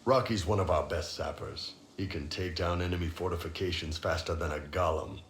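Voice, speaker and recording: An actor playing a big, jovial mercenary chief with a blunt, casual way of talking. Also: male voice, deep voice, a little fast, fairly bright tone. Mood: fond, proud. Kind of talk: video game dialogue acting. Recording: roomy game capture, faint background noise.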